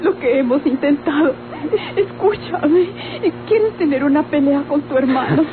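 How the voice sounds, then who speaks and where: High-voiced, sorrowful Mexican bride-to-be. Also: female voice, lively intonation, measured pace. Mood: anxious. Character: sorrowful, resigned young bride-to-be, gentle. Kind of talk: old Mexican radio drama acting. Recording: tinny, noisy old radio drama recording.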